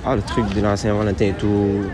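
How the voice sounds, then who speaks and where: A young man with a Senegalese accent answering street-interview questions in a relaxed way, dropping in plenty of "tu vois". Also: on the low side, a little fast, music in the background. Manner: relaxed, mixing French and Wolof, lots of "tu vois". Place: city street outdoors. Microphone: interviewer's phone. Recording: street crowd noise.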